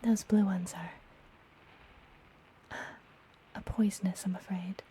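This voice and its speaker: A woman playing a friendly, mysterious witch and healer in an ASMR fantasy roleplay, whispering softly and gently with a teasing manner. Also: mid-range voice, steady tone, poor audio. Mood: welcoming, concerned. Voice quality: soft, breathy whisper. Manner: gentle, slow, playfully teasing.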